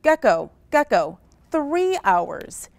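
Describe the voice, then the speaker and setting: A woman's voice: fairly high pitch, very animated, takes it slowly, no room sound, clean studio sound. A woman voicing a tiny, determined, stubborn gecko in a children's story, eager and emphatic. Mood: chanting, effortful.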